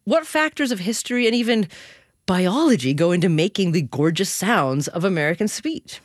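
A woman's voice: fairly low pitch, expressive, moderate pace, no room sound. A woman hosting a public radio interview show, conversational and repeating words as she thinks aloud on a studio microphone.

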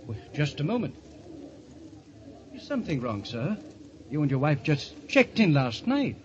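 An actor playing a polite, fussy hotel desk clerk in an old-time radio drama. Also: male voice, a little high, very animated, measured pace, darkish tone. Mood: courteous, concerned. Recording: muffled, hissy old radio recording.

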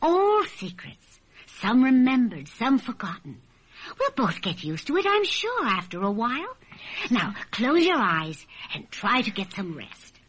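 A woman acting a genteel, excitable cousin with dramatic, emphatic delivery in a radio drama. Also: a little high, unhurried, husky, heavily acted, low-quality recording. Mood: brooding, hushed. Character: excitable, soothing spinster cousin Amy in a haunted house.

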